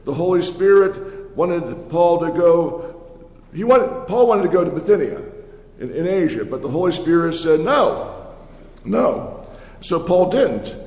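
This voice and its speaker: An older man speaking as a pastor, giving a folksy, conversational sermon with halting, repeated words in a large reverberant hall. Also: on the high side, dark tone. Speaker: pastor preaching. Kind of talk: sermon with folksy personal storytelling. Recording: echoey hall with long reverb.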